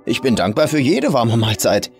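A man's voice: medium pitch, big pitch swings, normal speed, soft background music. A man playing a wary hitchhiker on the run who speaks with casual, ingratiating politeness. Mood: feigned gratitude.

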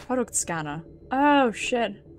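A British woman livestreaming a horror game, thinking out loud in casual, sweary commentary and reacting as she plays. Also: fairly high pitch, big pitch swings, slow pace, soft background music.

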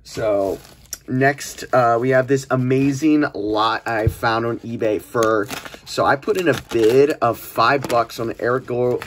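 A man's voice: mid-range voice, measured pace. A young man giving chatty, informal commentary as he hosts an unboxing video in a small room. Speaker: host of a trading card unboxing channel. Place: small indoor room at a desk. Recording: noisy room, crinkling packaging handling sounds. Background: clicks, crumpling and crinkling of packages.

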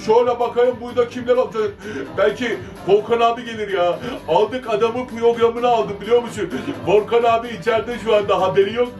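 A cheeky, excitable young servant voiced by a man chatters to children in a very high, squeaky voice, repeating himself as he tells his tale. He sounds a little distant from the mic, in a roomy, echoing space. Music plays clearly behind him. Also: brisk, hardly any pauses. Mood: cheerful. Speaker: children's show entertainer. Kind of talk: playful storytelling to children.

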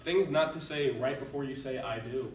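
A teenage boy hosting a school improv show, reading out prompts briskly like an announcer. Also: medium pitch. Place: school classroom. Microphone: far camera. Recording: echoey classroom from far off, loud noise.

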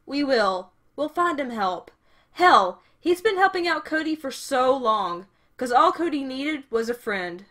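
Actress as a high-voiced, frank young woman. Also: sing-song, unhurried, sharp tone, exaggerated voice. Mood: earnest, protective. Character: frank, protective young woman in a dealer crew. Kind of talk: audio drama acting.

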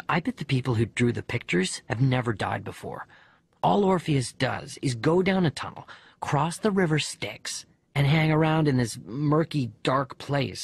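A man voicing a bereaved young boy who narrates his diary letters in an expressive, conversational audiobook reading. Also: medium pitch, vocal fry, slightly rough, dry recording. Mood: animated, incredulous.

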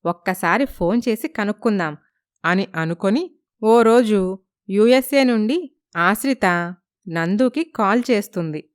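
Female narrator, smooth and clear, mid pitch, scripted storytelling. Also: lively intonation, measured pace, long pauses, no room sound, clean studio sound.

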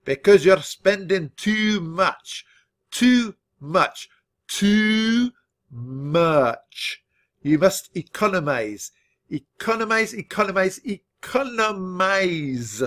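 A tough old miserly grandfather mouse, acted out by a man for a children's audiobook. He hectors and shouts in a lively, swooping, raised pitch, stretching key words for emphasis. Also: a little slow. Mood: bossy, outraged.

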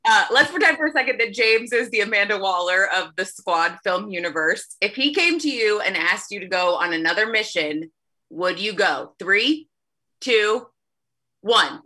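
A young woman hosts a virtual press conference on a video call and reads out the questions. Her voice is bright and a touch breathy. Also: mid-range voice, animated, normal speed.

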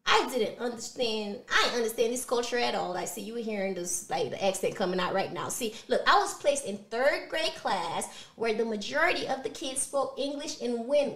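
A woman's voice: fairly high pitch, animated, normal speed, few pauses, fairly bright tone, roomy sound, slight background noise. A woman in her thirties from Haiti with a Haitian Creole accent, first language Creole, talking expressively to camera in a motivational vlog and repeating words for emphasis. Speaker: motivational vlogger. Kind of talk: personal motivational talk to camera. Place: small indoor studio room.

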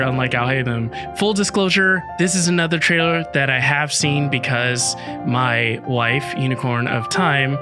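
A man in his thirties giving casual live reaction commentary to game trailers, with awestruck, drawn-out exclamations. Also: mid-range voice. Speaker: reaction video host. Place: home room, indoors. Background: trailer music.

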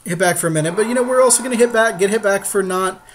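A man with a slightly high voice chats casually through game strategy as a co-host. His talk is jokey and full of playful slang, with faint noise behind him. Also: racing. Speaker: podcast co-host advising on an online card game.

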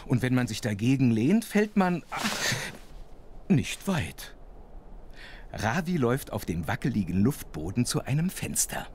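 A man's voice: medium pitch, sing-song, a little slow, noisy background. A man playing a chatty, wondering storyteller who narrates a children's audio drama and comments as he goes. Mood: amazed.

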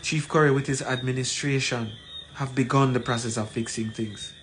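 A man in his forties with a Jamaican accent gives an emphatic, deliberate address to camera, repeating phrases for weight. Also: medium pitch, fairly even intonation, a bit bright. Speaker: community leader. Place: small plain room indoors. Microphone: phone at arm's length. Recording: noisy room, ambient background sound.

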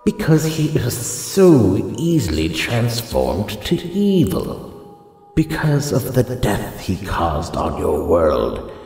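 A man playing an ancient, solemn guardian spirit who speaks gravely and portentously in a superhero audio drama. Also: lowish voice, wildly swooping pitch, slow, darkish tone, faint music behind, heavily acted. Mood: grave, testing. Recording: long cavernous reverb.

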